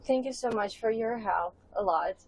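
A woman's voice: fairly high pitch, normal speed, vocal fry, a little hiss or hum. A woman interviewer asking casual questions in a chatty, giggly way with loosely phrased sentences.

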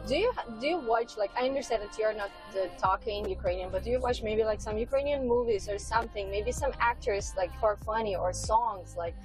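A woman's voice: mid-range voice, brisk, few pauses, faint music behind, low-quality recording. A woman interviewer asking casual questions in a chatty, giggly way with loosely phrased sentences.

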